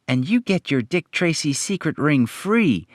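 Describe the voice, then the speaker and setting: A man voicing an eager, wholesome young boy sidekick, excitable and exclamatory, in an old radio promotion. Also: fairly high pitch, measured pace, no room sound, studio quality, voice pushed up. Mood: excited. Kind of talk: 1930s radio advertising acting.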